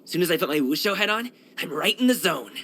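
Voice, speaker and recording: A man's voice: high-pitched, sing-song, fast talker, bright, forceful tone, exaggerated voice. A man voicing an upbeat young kung fu street dancer and courier in a video game, breezy, slangy and chatty. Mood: joking, easygoing. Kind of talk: video game character voice lines.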